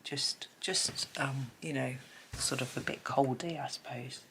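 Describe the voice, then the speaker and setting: A low-pitched middle-aged British woman talks while colouring, her voice a little stuffy from a blocked nose. She rambles in a chatty way, with plenty of ums and little asides. Her pencil scratches faintly behind her. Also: steady tone, measured pace, a bit bright, slight background noise, rough recording. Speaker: colouring channel host. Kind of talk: casual chat while colouring.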